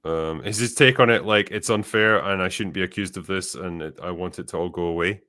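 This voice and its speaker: A man's voice: low-pitched, fairly quick, bright, forceful tone. A man hosting a podcast, reading out viewer messages and chatting casually, with some offhand swearing. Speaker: podcast host.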